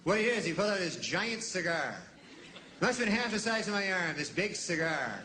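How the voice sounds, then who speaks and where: A man telling an anecdote from his childhood in a lively storytelling way. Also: high voice, brisk. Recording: roomy recording with faint background noise.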